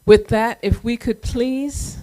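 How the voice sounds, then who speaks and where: Slow, deliberate woman addressing a gathering. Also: on the high side, steady tone, warm tone. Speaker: community event host. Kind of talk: public remembrance address.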